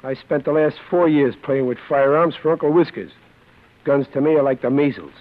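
An actor playing a tough, dim, touchy Brooklyn lug in a 1940s radio drama, slangy and wisecracking. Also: male voice, on the high side, moderate pace, natural voice. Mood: blunt, wary. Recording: muffled old radio recording.

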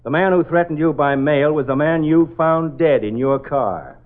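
A man's voice: a little high, moderate pace, music in the background, lightly acted. An actor playing a stern, sarcastic male police detective questioning suspects in an old radio drama. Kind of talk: 1940s radio acting. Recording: tinny old radio broadcast.

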